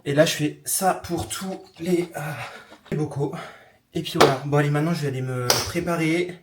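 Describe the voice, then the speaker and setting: A man's voice: a little high, steady tone, moderate pace, heavy background noise. A young man talking casually to camera in a vlog, rambling with lots of fillers and restarts. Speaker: vlogger.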